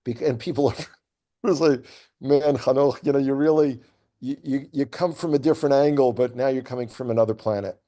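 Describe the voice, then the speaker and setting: A man giving conversational political commentary as a guest, speaking in an emphatic, opinionated way and making his points deliberately. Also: medium pitch, dark tone. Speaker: regular guest commentator on a news update programme.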